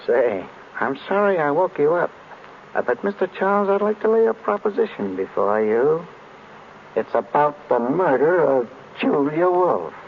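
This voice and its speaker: An actor playing a shady small-time informer with furtive, slangy tough-guy talk in an old radio crime drama. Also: medium pitch. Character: shady male small-time informer peddling a secret by telephone. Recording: dull, noisy old radio recording.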